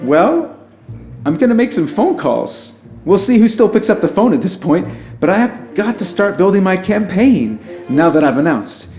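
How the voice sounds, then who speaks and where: A high male voice in a roomy, echoing space as a man acts it out, playing an alien who runs for president in an audio drama. He is earnest and conversational, rambling with wry hesitations. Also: sing-song, moderate pace, darkish tone, faint music behind. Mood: wry, upbeat.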